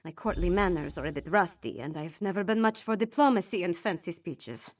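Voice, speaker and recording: Wry, earnest young princess, played by an actress. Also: female voice, on the low side, fairly quick, close to an everyday voice. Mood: uneasy, determined. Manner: earnest, informal, self-deprecating. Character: young princess, wry, rough-edged, grieving and unsure but resolute. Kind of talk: video game voice acting.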